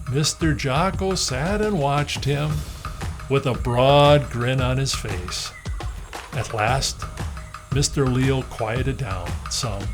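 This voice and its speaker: A man reading a children's storybook aloud as an expressive storyteller. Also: medium pitch.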